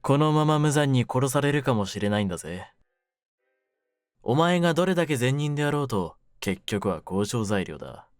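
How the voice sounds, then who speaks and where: A man playing a cynical, wounded young mafia kidnapper in an audio drama, with a low voice and rough, brooding delivery. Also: very animated, normal speed, no room sound, clean studio sound, soft background music, lightly acted. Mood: cold, taunting. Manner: rough masculine slang, taunting, brooding delivery. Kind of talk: Japanese situation-voice audio drama, ASMR.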